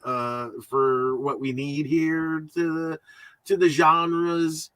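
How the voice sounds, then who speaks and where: Man, podcast host, very bright forceful voice, dry close sound, rambling and halting, casual. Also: fairly high pitch, level tone, clear, resonant tone, no room sound. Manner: rambling, halting, repeats words. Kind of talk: casual conversational podcast talk.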